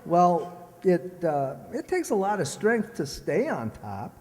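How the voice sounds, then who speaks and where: An actor playing a vain, jealous, hesitant husband in an old-style radio comedy. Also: male voice, sits high, wildly swooping pitch, slow, a little mellow, slight background noise, heavily acted. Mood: boastful. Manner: boastful, hesitant, stammering. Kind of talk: old radio sitcom acting. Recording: long hall reverb.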